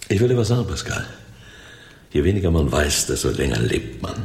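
An actor playing a self-assured, cautious crook in a radio crime drama, speaking deliberately with sardonic maxims. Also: male voice, rumbling low, sing-song, vocal fry, darkish tone, full character voice. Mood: guarded, wry. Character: wry, self-assured male crook, cautious gang planner. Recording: roomy echo, faint background noise.

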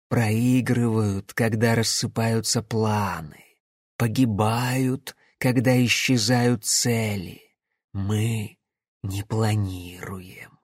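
A man voicing a haughty, menacing inhuman warrior creature in an audiobook, with a soft, lulling, singsong and condescending delivery. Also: medium pitch, dry recording, studio quality, full character voice. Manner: slow, hypnotic, condescending.

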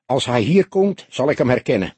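A man's voice: medium pitch, sing-song, moderate pace, darkish tone, husky, dry recording. A man voicing a small, loyal, bitter underworld café owner in an audiobook, speaking earnestly with some venom. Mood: confiding.

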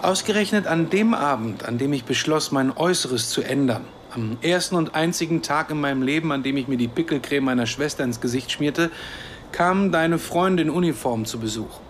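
A man's voice: fairly high pitch, animated, fairly quick, close to an everyday voice. Actor as earnest, wry Palestinian man, noisy ambience. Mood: wry, confessional. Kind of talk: naturalistic German radio drama acting.

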